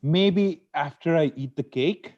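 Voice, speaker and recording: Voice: medium pitch, takes it slowly, a little mellow, muffled recording, natural voice. A performer in an amateur table read playing a stressed, sweet-toothed lawyer husband who bickers, wheedles and pleads like he is in court. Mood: craving, pleading. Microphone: video call.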